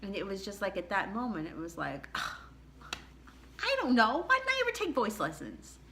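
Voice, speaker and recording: A middle-aged woman with a low contralto voice recounts her own story in a chatty, candid way, laughing often. Her tone is bright and forceful. A faint background ambience sits under her voice. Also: big pitch swings, moderate pace, slight background noise. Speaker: vlogger. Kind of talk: personal storytelling to camera.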